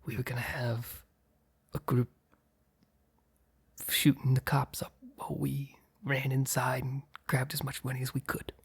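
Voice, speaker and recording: A man playing a mob boss boyfriend, blunt yet flustered, in an intimate ASMR roleplay with a breathy, halting delivery. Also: mid-range voice, level tone, full character voice. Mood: confessional, cold. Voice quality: breathy, husky, often near whisper. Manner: halting, sighing, blunt, swearing.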